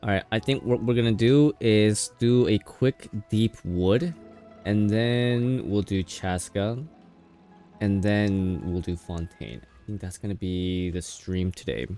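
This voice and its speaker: A man's voice: lowish voice, slow pace, dark tone. A man livestreaming a game in casual chat, sounding tired, rambling and playfully teasing. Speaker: game streamer. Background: game music.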